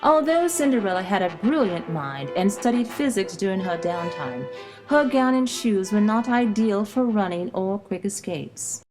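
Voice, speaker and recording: A woman narrates with a lively, varied pitch over clear background music. Her delivery is scripted and storybook-like, in the explanatory style of an educational video, at a medium pitch. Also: moderate pace, rough recording.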